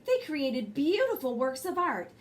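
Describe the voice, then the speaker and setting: A woman's voice: high voice, sing-song, unhurried. A woman reading a children's bedtime story aloud expressively, with brief asides to her young listeners. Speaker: storybook reader and host.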